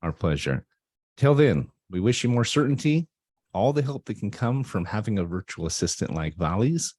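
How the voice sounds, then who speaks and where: Low, mellow-voiced talk radio host. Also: male voice, very animated, normal speed, husky, no echo. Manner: upbeat, affirming, often asks "right?". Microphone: video call.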